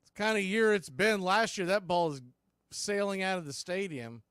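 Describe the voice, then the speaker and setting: A man's voice: high-pitched, moderate pace, a bit bright, smooth, no room sound, clean studio sound. A man giving live baseball play-by-play commentary in the style of a radio broadcast.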